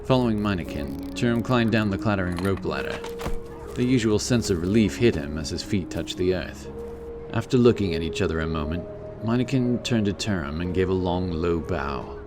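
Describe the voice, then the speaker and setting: A man's voice: sits low, lively intonation, moderate pace, music in the background. A man giving scripted narration for a fantasy audiobook, in the role of storyteller.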